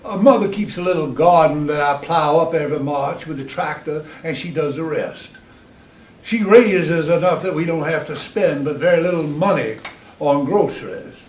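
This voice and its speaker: A man's voice: on the high side, moderate pace, lightly acted. A man playing a bitter, sardonic Southern farmer from a fallen planter family in a stage monologue, with a dry, folksy drawl and a Southern US accent. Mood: grumbling, matter-of-fact. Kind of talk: theatrical monologue reading. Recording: roomy, distant microphone in hall.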